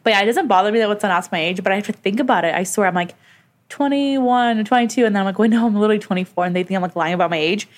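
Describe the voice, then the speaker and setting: A woman in her twenties co-hosting a podcast, chatting casually and rambling with quick asides. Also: mid-range voice. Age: 20s. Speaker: podcast co-host. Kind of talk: casual chat.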